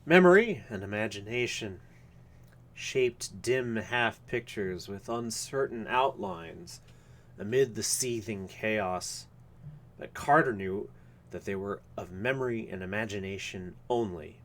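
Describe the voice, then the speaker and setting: A man reading a literary horror story aloud live, with measured, formal and dramatic phrasing. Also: lowish voice, lively intonation, a bit bright. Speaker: reader of a story.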